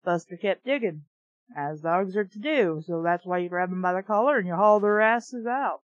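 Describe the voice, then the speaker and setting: A woman's voice: mid-range voice, moderate pace. A woman reading a storybook aloud and breaking off into snarky, exasperated, profane commentary. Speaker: reader and book reviewer. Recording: dull, echoey room recording.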